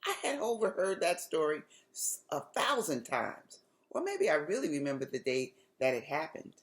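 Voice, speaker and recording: An American woman reads to children as an author sharing a story. Her voice is extremely low for a woman and moves in lively swings. The phrasing is deliberate and dramatic. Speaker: author reading aloud to children. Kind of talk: expressive storybook reading.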